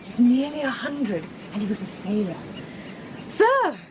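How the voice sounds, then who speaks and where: An actress playing a sweet, excitable young English gentlewoman, genteel and coaxing, in a period radio drama. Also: on the high side, measured pace, a little hiss or hum, low-quality recording. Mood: bright, coaxing.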